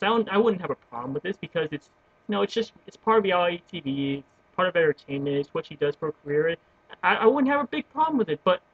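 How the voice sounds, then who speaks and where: A man's voice: sits high, a bit bright, breathy edge, dry recording. A young man talking earnestly into his webcam at home, gesturing as he goes and often stumbling over restarts. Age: young adult. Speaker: vlogger. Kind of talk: opinion rant to webcam. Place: small room at home with bookshelves, indoors. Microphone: webcam built-in mic. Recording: dull lo-fi webcam audio.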